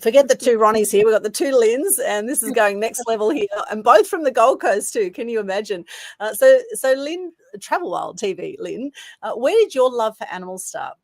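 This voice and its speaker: A woman's voice: a little high, animated, moderate pace, dry recording, clean studio sound. A woman hosting an online interview show, introducing and praising her guests with enthusiasm. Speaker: talk show host.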